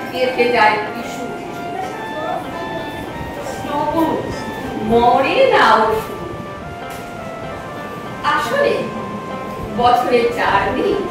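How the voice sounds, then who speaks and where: Woman, dramatic poetry recital, very slow declamatory delivery, heavy echo, measured pauses. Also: sits high. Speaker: recitation performer. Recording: very echoey, distant mic, music behind.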